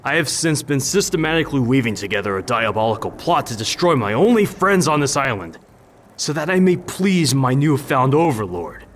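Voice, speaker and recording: An actor playing a jaded, sardonic war veteran and brewer in a fantasy video game, dry and wistful. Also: male voice, medium pitch, big pitch swings, normal speed, slight background noise, natural voice. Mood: sarcastic.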